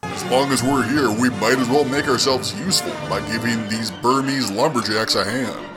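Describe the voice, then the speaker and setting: A man's voice: medium pitch, normal speed, over music, lightly acted. A man playing a confident, calculating superhero who thinks aloud in a comic-book dramatic reading.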